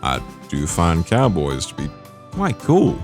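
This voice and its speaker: A man playing a gentle, modest young cowboy who tends animals, with folksy talk, in an amateur audio drama. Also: low voice, wildly swooping pitch, slow pace, creaky, music in the background, heavily acted. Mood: easygoing, proud.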